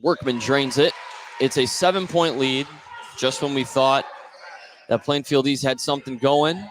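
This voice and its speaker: A male play-by-play announcer calls a live basketball game for radio. His voice sits at an average pitch, with a steady layer of gymnasium noise behind it. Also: measured pace. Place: indoor school basketball gym. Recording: noisy courtside gym broadcast. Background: clear gym noise.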